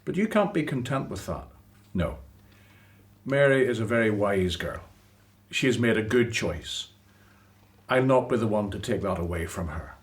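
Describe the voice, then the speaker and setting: Uomo che recita Gesù, maestro pacato, intonazione vivace. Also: male voice, fairly low pitch, expressive, lightly acted. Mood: gently admonishing. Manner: gentle, deliberate, admonishing. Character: calm, gentle, authoritative male religious teacher. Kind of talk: radio play acting.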